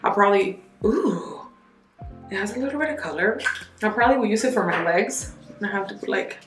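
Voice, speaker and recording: A woman's voice: medium pitch, fairly quick, roomy sound, music in the background. A young woman reviewing skincare products in a casual, candid chat, speaking to a camera close in front of her at home. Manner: chatty, candid, animated asides. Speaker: beauty vlogger. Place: home bathroom, indoors.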